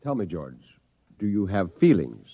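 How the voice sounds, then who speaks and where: An actor playing an uneasy, civil professor in an old radio drama, speaking earnestly with courteous hesitation. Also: male voice, sits low, very animated, measured pace, husky, exaggerated voice. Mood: curious. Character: uneasy, civil professor and husband, protesting politely. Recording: dull, lo-fi old radio recording.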